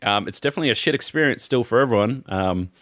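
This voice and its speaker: A man's voice: mid-range voice, quick pace, no echo, clean studio sound. A young man co-hosting a casual podcast, telling rambling stories with lots of laughter. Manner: rambling, animated storyteller, frequent laughs. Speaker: podcast co-host. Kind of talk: casual chat.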